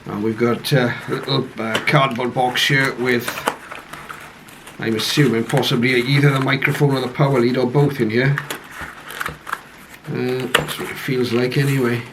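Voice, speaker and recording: A man giving a casual, low-key first-look commentary on a piece of equipment, speaking hesitantly and without hurry. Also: little pitch movement, moderate pace. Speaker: radio hobbyist reviewer. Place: conservatory, later a home radio room. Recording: clearly noisy home recording.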